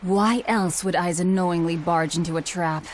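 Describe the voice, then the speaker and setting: An actress playing a cool, hard-edged young antiheroine in a video game, curt, dry and commanding. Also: female voice, lowish voice, fairly even intonation, moderate pace, slight background noise, lightly acted. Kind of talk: English video game voice acting.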